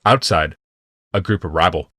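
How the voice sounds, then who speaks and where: A man reads a fantasy audiobook in a low voice with a bright, forceful edge. The delivery is scripted dramatic narration, and the recording is very dry. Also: normal speed, slightly rough, no room sound.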